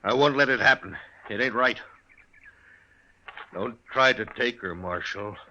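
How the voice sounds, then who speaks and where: An actor playing a desperate, protective frontier husband in a 1950s radio western, gravelly and urgent, with pleading, rustic speech. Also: male voice, medium pitch, a little slow, bright, forceful tone, muffled recording, slight background noise. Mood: anguished, pleading.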